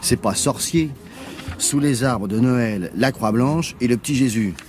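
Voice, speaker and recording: A man's voice: medium pitch, measured pace, a little mellow, faint music behind, lightly acted. An actor playing an ambitious, touchy man in a French radio mystery, eager to impress, speaking colloquially with some hesitation and irritability. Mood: irritated.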